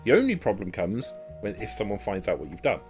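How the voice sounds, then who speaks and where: Male game master, low register, explanatory tabletop delivery over faint guitar music. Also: wildly swooping pitch, fairly quick, a little mellow.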